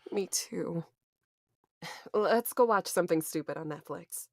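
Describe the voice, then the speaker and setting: A woman's voice: on the low side, measured pace, darkish tone, dry recording, studio quality. An actress playing a grief-stricken, bitter young woman in a horror audio drama, with a weary, sighing, sardonic delivery. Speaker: voice actor narrating an audio drama. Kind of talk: first-person dramatic narration and dialogue. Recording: music under the voice. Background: music.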